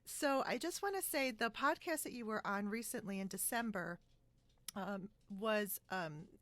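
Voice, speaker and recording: A woman's voice: medium pitch, measured pace, fairly bright tone, no room sound. A woman hosting a casual podcast interview from a room at home, chatty and giggly, on a video call. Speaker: podcast host.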